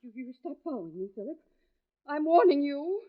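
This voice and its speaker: An actress playing a frightened, suspicious wife who tells her own dark tale in 1940s radio mystery style, with anguished dramatic pauses. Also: sits high, big pitch swings. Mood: defiant fear. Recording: dull old radio recording, music behind. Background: dramatic music.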